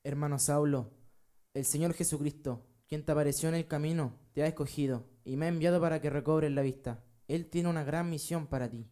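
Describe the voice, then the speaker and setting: A man's voice: on the high side, steady tone, fairly quick, dark tone, natural voice. A man playing a polite, earnest disciple in a radio drama.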